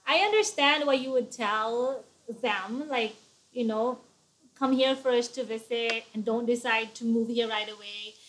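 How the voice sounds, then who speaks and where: A woman's voice: fairly high pitch, steady tone, a little slow, fairly bright tone, slight background noise, low-quality recording. Friendly woman co-hosting a live stream. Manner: friendly, greets viewers by name, uses "like" often. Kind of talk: casual live chat greeting viewers.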